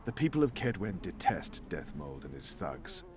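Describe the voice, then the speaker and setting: A scheming male conspirator in a fantasy game, calm and persuasive, his pitch rising and falling in lively swings. Faint outdoor ambience hums behind him. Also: slight background noise. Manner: measured, persuasive.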